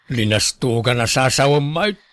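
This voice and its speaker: A man's voice: mid-range voice, expressive, moderate pace, fairly bright tone, no echo, clean studio sound, lightly acted. A man playing an exasperated, grumbling village husband and humble watchman in a radio drama. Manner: grumbling, put-upon.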